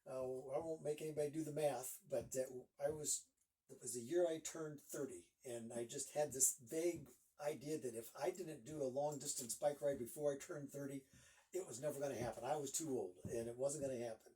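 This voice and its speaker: A man's voice: medium pitch, fairly quick, dark tone, far from the mic, rough recording. A man in his sixties from Northeast Ohio, a guest author chatting casually in an interview and rambling with lots of fillers.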